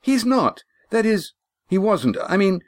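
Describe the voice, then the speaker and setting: A man voicing a fiery, sharp-tongued young woman with shrill, indignant outbursts. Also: expressive, unhurried, dry recording. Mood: furious.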